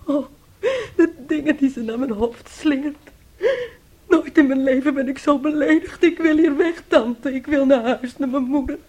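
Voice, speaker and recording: An actress playing a naive, wounded young niece in a Dutch radio play, speaking in a plaintive, earnest way. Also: female voice, high voice, normal speed, darkish tone, close to an everyday voice. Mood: tearful indignation. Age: young adult.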